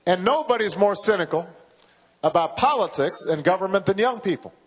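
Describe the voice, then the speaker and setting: A man in his forties giving a campaign speech, slow and halting with frequent pauses and repeated words. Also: high-pitched, animated, husky. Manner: halting, measured, with many uhs and repeated words. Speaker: political candidate. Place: large university hall. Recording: reverberant hall from a PA.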